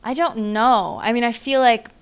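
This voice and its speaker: A woman's voice: on the high side, moderate pace, clean tone. A young woman, a musician talking easily in an interview with lots of agreeing asides. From Sweden. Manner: easygoing, lots of agreeing yeahs and you knows. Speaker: musician guest. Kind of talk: casual interview chat.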